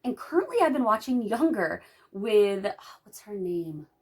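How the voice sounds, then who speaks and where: A woman in her thirties, hoarse from a cold, reading out writing questions and answering them in casual chat. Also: medium pitch, big pitch swings, a little slow. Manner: chatty, reads out questions then answers them. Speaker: writing vlogger. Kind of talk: casual talk-through of a tag video.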